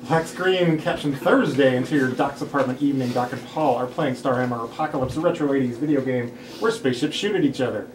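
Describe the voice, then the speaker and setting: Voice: mid-range voice, quick pace, hardly any pauses. A person reading screenplay stage directions aloud at a table read. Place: indoor room, group table read. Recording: roomy distant mic, clear background noise.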